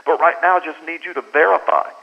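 A man's voice: fairly high pitch, fast, vocal fry. An American man, a book's author, telling a story in a radio interview and acting out the voices of the people in it. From United States. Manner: animated storyteller who acts out quoted dialogue. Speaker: author guest. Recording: lo-fi phone line.

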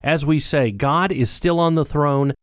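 Host: man, friendly scripted radio announcer, slightly raised pitch, close and dry. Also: a little slow, clear, resonant tone, no room sound.

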